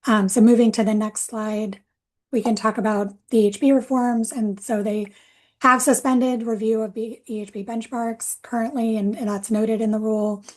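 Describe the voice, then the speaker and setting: A woman's voice: a little high, little pitch movement, normal speed. A woman giving a webinar presentation over a video call, explaining steadily with frequent fillers. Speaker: policy presenter.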